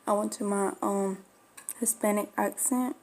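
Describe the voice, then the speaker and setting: Woman w/ a slight mexican spanish accent, gravelly flat voice, rambling storytime vlog. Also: mid-range voice, a little slow. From Florida, USA. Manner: rambling, confiding, many fillers. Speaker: bulldog breeder vlogger.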